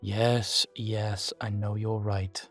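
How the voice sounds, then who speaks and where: A man playing a guarded, world-weary vampire informant in an audio roleplay, speaking in formal, measured phrases, proud yet fond. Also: lowish voice, flat delivery. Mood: relenting, fond. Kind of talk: scripted audio roleplay to the listener. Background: background music.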